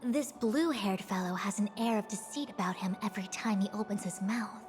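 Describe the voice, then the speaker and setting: A composed young female master of divination, played by a woman in a video game. She speaks in a measured, formal way at a medium pitch. Music and long reverb sit around the voice. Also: close to an everyday voice. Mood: analytical. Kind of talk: acted video game dialogue.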